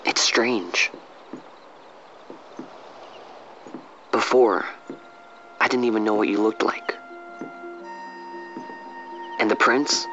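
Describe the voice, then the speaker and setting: A man's voice: fairly low pitch, slow pace, pushed and bright, heavily acted. A young male actor voicing a restless, grieving young man in a fantasy game, casual and sincere. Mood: troubled. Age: young adult. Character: restless, grieving young street-bred man seeking his purpose. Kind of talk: dramatic game voice acting. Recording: dull lo-fi game audio over music.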